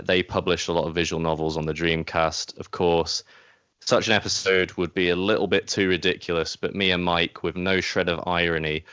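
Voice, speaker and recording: A man's voice: sits low, a bit bright, smooth, no room sound, muffled recording. A man hosting a podcast in a casual, chatty way. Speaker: podcast host. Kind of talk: casual podcast chat and introduction.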